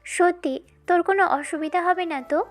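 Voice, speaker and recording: A woman plays a watchful young boss lady in a romantic audio drama. She has a high voice and an authoritative, brisk, commanding way of talking. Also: faint music behind. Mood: concerned. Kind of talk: Bengali romantic audio story acting.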